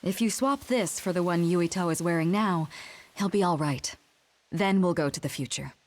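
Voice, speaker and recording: An actress playing an earnest, composed woman in a sci-fi video game cutscene, speaking in a measured, clearly enunciated way. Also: lowish voice, lightly acted. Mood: composed, explaining.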